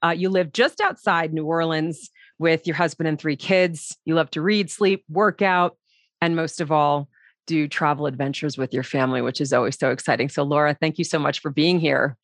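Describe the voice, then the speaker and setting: A woman hosting an interview in an informal, halting conversational style. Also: deep voice, steady tone, no room sound, studio quality. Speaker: host, interviewer.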